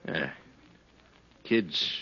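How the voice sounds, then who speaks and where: An actor playing a weary, wistful drifter in a 1950s radio western, with a husky voice and folksy, halting, rough-hewn speech. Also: male voice, pushed and bright. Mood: wistful, curious. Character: weary, wistful male drifter of the old West. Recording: muffled 1950s radio recording.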